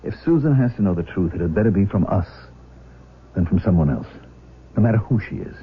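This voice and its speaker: A man's voice: sits low, lively intonation, fairly quick. An actor playing a protective, reasoning middle-aged father in a radio drama, earnest and firm. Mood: resolved. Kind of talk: 1970s radio drama acting. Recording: dull, noisy 1970s radio recording.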